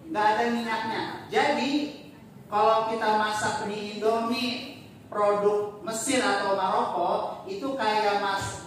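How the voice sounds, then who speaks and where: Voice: medium pitch, a bit bright. Lecturer in a very echoey hall. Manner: mixes in Arabic phrases and quizzes the audience; joking, chatty asides. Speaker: lecturer presenting slides. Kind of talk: casual classroom talk with slides. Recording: very echoey room, distant mic, faint noise.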